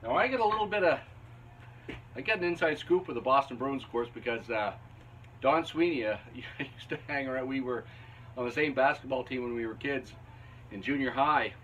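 A man's voice: medium pitch, moderate pace, a bit bright, slight background noise, low-quality recording. A man in his fifties from Canada, chatting in a folksy, easygoing way with chuckling asides as he teaches woodworking in his basement shop, picked up by a camera on the bench. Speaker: woodworking channel host. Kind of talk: casual instructional talk while working. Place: basement woodshop. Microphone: camera on a bench a few feet away.

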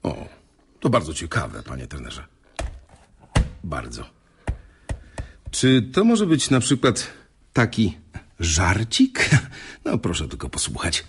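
An actor playing a sly, smarmy reporter in a radio drama, with ingratiating, mockingly polite delivery. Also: male voice, low voice, wildly swooping pitch, slow, pauses often, a little hiss or hum, exaggerated voice. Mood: smug, gloating. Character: smarmy, sly male tabloid reporter, gloating. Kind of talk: Polish radio drama acting.

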